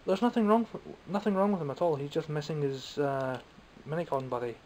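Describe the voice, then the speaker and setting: A man's voice: on the high side, fairly quick, darkish tone, a little hiss or hum, low-quality recording. A young Scottish man chatting casually and wryly about his toy haul from behind the camera, a bit phlegmy and coughing now and then. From Scotland. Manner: wry, rambling and self-mocking. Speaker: toy collector vlogger. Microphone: camera mic, speaking from behind the camera.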